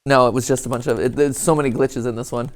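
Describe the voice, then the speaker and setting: A man's voice: medium pitch, fairly even intonation, fast, a little hiss or hum. Guy hosting a reaction show, jokey off the cuff commentary, casual chat. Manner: playful, joking. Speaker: host.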